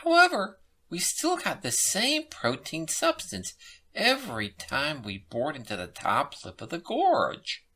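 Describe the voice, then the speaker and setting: A woman's voice: sits low, wildly swooping pitch, unhurried, bright, forceful tone, pitched above the performer's own voice, heavily acted. A woman voicing a nervous male scientist in a story reading, giving an emphatic, alarmed warning. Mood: nervous, urgent. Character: nervous, urgent male scientist leaking a secret report.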